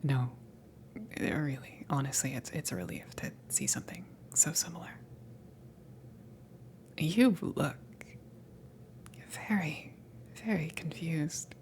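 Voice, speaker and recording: A woman playing a casual, blunt alien salvage worker in an ASMR roleplay, speaking in a soft, breathy whisper with a halting, teasing delivery. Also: low voice, expressive. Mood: curious, hesitant. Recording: noticeable background noise, ambience. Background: ambient noise.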